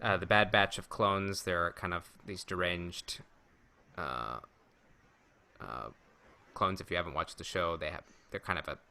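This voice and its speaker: Male podcast host, low pitch, bright forceful tone, halting delivery, conversational. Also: gravelly, smooth. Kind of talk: casual chat about Star Wars rumors.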